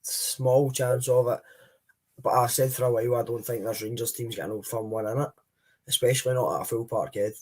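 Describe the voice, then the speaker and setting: A man's voice: medium pitch, brisk, darkish tone. A man with a Scottish accent giving blunt, frank opinions as a guest on a casual football podcast. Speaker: podcast panelist.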